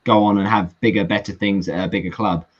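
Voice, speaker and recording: Low-voiced male football fan, muffled line. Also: fairly even intonation, a little fast, husky. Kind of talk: casual football discussion. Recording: muffled lo-fi online call.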